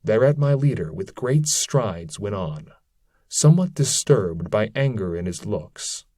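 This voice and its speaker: A man reading epic poetry aloud from a script in a solemn, measured, deliberate way. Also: fairly low pitch, expressive, dark tone, dry recording, clean studio sound. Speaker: reader of classic poetry.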